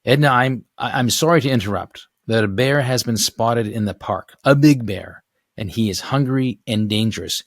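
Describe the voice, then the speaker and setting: Unflappable older female agent for a storybook male reader: raised pitch, clipped, matter-of-fact, dry sound. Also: normal speed, no echo, lightly acted. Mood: urgent, firm. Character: tough, unflappable older woman secret agent.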